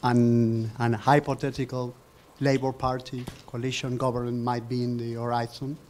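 A man's voice: mid-range voice, little pitch movement, moderate pace, darkish tone, slight background noise. A young man from Argentina, a student politely asking a question at a seminar into a handheld mic. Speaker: student in the audience.

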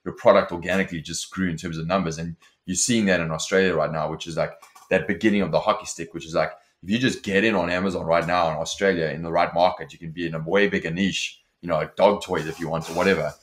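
Deep-voiced South African man. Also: steady tone, fast. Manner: many restarts and fillers, fluent and forward-driving. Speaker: software company founder, guest. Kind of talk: casual interview talk. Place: home office with shelves.

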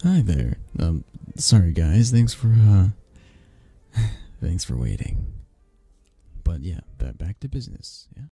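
Man playing a dark, husky mafia boss. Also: big pitch swings, dry recording, faint music behind, full character voice. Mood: soothing, restrained. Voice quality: low, dark, husky, breathy. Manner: slow, halting, with sighs and pointed pauses. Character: possessive, menacing young mafia boss, softening into awkward tenderness. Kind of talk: romantic ASMR audio roleplay.

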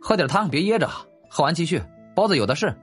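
A man voicing a confident, excitable young nobleman in an audiobook, exclamatory and casually commanding. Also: fairly high pitch, fast, soft background music, pitched above the performer's own voice, close to an everyday voice.

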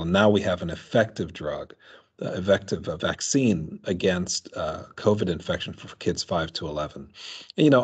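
A man's voice: low voice, slightly rough. A man speaking as a physician panelist on a video call, giving an explanatory answer. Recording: dull video-call audio.

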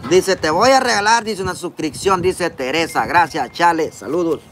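A man's voice: fairly high pitch, moderate pace, a little hiss or hum. A man in his forties with a Honduran Spanish accent, casually greeting viewers by name on a phone livestream while eating outdoors. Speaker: livestream vlogger reading chat. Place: outdoors in a park, seated at a table. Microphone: phone camera close up.

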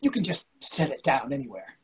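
A man's voice: mid-range voice, very animated, a little fast, breathy edge. A man in his sixties playing an anxious, devoted, fussy husband in a play reading over a video call, earnest and questioning. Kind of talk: readers theatre play reading.

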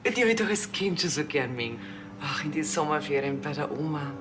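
Low-voiced chatty woman. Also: expressive, a little fast. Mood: curious, eager. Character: nosy, food-loving woman, chatty and cheeky.

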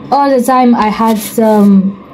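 A woman's voice: on the high side, slow pace, a little mellow, soft background music, poor audio. A young woman vlogging in a casual, joking way, talking to a handheld phone in a small indoor salon. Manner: chatty, joking, repeats filler words. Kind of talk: casual vlog chat to camera. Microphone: phone held at arm's length.

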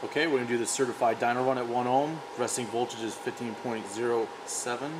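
A man's voice: mid-range voice, steady tone, quick pace. An American man in his thirties plainly presenting a product test. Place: indoor workshop or warehouse. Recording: clear air-conditioning hum.

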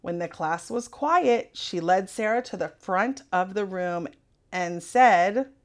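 A woman reading a children's storybook aloud in an expressive narrating style. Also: mid-range voice, slow pace. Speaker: storybook reader.

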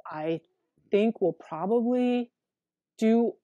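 A woman with a deep voice, a therapist speaking as a guest on a video call, talking frankly and earnestly with many fillers and restarts. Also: slow pace, warm tone, no room sound, lo-fi sound. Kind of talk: candid interview conversation. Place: small room at home with a window.

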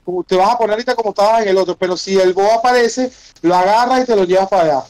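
A man's voice: high voice, rapid-fire, a little mellow, poor audio. A man with a Venezuelan accent chatting casually over a game, slangy and bossy, repeating his instructions to teammates. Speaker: gaming streamer. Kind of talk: casual gaming chat with teammates.

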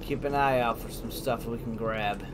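A man's voice: medium pitch, moderate pace. Man doing casual gameplay commentary, noisy background. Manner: informal, thinking aloud. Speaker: gaming video host. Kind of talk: casual let's play commentary. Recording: clearly noisy, game ambience behind.

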